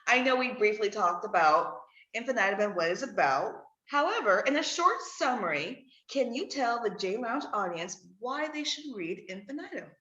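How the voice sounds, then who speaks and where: A woman's voice: on the high side, very animated, normal speed, few pauses, bright, forceful tone. A woman hosting a conversational interview podcast, readily agreeing with and echoing what her guest says. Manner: affirming, echoes and agrees with guest. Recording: dull audio in echoey room.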